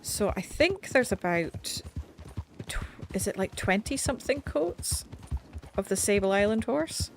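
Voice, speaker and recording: A woman's voice: mid-range voice, expressive, a little slow, a little hiss or hum. A woman streaming a game, chatting casually and self-deprecatingly while she plays, her voice slightly nasal. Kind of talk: casual gameplay commentary. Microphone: desk mic set back a little.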